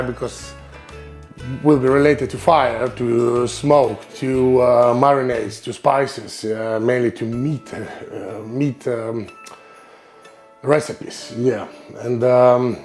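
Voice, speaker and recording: Lithuanian man with halting, filler-heavy English. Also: medium pitch, a little mellow. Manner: halting, searching for words, many fillers. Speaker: cooking channel host. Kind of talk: casual cooking vlog.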